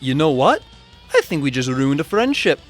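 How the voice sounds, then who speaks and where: A wry, grumbling mechanical inventor hero played by a man, delivered with hugely varied intonation. His voice sits fairly high, with prominent music behind the animated fan dub. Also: moderate pace. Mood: sly.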